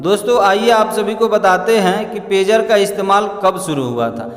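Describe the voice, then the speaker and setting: A man reads a scripted explainer in a halting way, addressing listeners as friends. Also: high-pitched. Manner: halting read-aloud delivery, often addressing listeners as friends. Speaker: presenter narrating an explainer. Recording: echoey room with long reverb.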